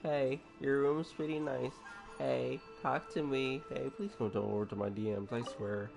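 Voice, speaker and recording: A man's voice: medium pitch, fairly even intonation, measured pace, gravelly. A man chatting casually and jokingly in slang as he streams a video game. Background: game music.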